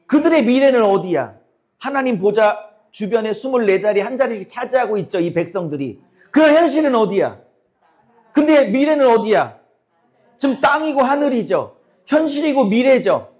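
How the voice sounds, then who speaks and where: A middle-aged male pastor with a high-pitched voice, preaching to his congregation. His voice has gone hoarse and cracks from long talking. He keeps throwing questions at the listeners and talks in a blunt, forceful way. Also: very animated, normal speed. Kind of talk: sermon.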